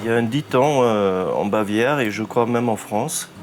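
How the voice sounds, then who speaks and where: A man from Bavaria, a brewer speaking in an interview in a slow, halting way with many pauses. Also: fairly low pitch. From Bavaria, Germany. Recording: music bed under the voice.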